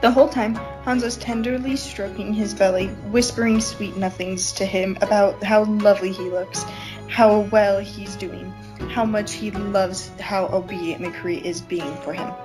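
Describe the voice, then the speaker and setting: A woman's voice: mid-range voice, steady tone, measured pace, over music, low-quality recording. A woman podcast host reading fan fiction aloud as an amateur narrator.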